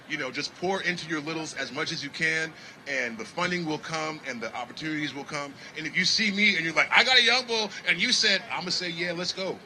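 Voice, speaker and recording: Jazz musician guest, bright and lively, faint outdoor crowd hum. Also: male voice, on the high side, fairly quick, poor audio. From Connecticut, United States. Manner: animated, preachy, repeats "you know what I mean". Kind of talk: casual outdoor festival interview.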